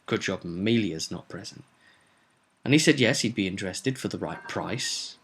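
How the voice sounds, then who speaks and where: Bright, forceful male game master. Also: on the low side, animated, moderate pace, creaky, breathy edge. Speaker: game master recapping a session. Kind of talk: informal spoken recap of a tabletop roleplay campaign.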